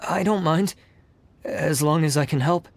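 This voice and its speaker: Slow, hesitant, meek candidate. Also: male voice, on the high side, slight background noise. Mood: timid. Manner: hesitant, mild. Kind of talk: video game voice acting.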